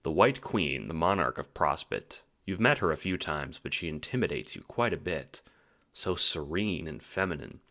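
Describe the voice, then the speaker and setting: A man narrating a scripted second-person story as an audiobook, with theatrical, expressive delivery. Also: very deep voice, moderate pace.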